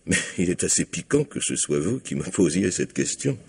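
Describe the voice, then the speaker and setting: A low, husky male doctor from an old radio mystery. He is sardonic and sure of himself, insinuating politely with condescension and veiled threat. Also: big pitch swings, moderate pace, full character voice. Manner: polished, condescending, quietly threatening. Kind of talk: 1950s French radio mystery acting.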